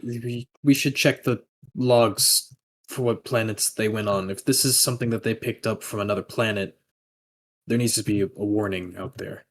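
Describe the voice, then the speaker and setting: A man's voice: fairly low pitch, fairly even intonation, moderate pace. A man playing a tabletop roleplaying game, speaking casually in character as a player.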